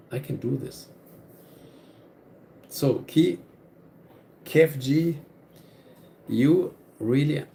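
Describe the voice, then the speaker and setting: A man with a German accent speaks slowly and earnestly to his live audience, looping back over his own phrases. His voice sits mid-range, with a soft, mellow tone. A faint hum of background noise lies under it. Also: mid-range voice, vocal fry. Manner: earnest, repetitive, restarts phrases. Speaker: channel host. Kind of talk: live stream talk to viewers.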